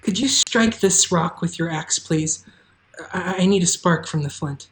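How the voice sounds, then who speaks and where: A woman's voice: on the low side, a little slow, close to an everyday voice. A woman playing a kind, practical young farm girl in a live fantasy table read, earnest and reassuring. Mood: hopeful.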